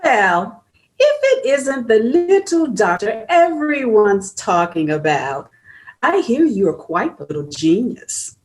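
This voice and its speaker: Slow sing-song sarcastic older nurse played by a woman. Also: fairly high pitch, sing-song, low-quality recording. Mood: mock-sweet sarcasm. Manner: sing-song, exaggerated bounce. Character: sarcastic, sugary nurse in her fifties. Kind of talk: script table read.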